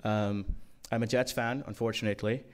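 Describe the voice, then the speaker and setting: Brief: male conference keynote speaker, lower voice, relaxed and joking, filler-heavy delivery. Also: fairly quick, smooth. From Guyana. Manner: casual, joking, many ums and uhs. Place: conference room.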